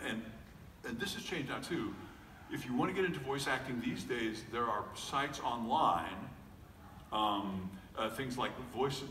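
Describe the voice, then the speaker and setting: A man's voice: mid-range voice, pushed and bright. A middle-aged man, a voice actor, talking casually at a convention panel in a joking, self-deprecating way with lots of fillers and restarts. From United States. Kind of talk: casual panel talk to an audience. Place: large convention hall. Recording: echoey hall recording from far off, faint noise.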